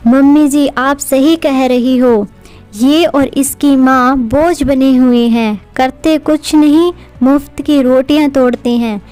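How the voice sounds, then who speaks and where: A woman's voice: high-pitched, fairly even intonation, takes it slowly, dark tone, soft background music. A woman playing a spiteful, resentful young daughter-in-law in a cartoon, complaining scornfully.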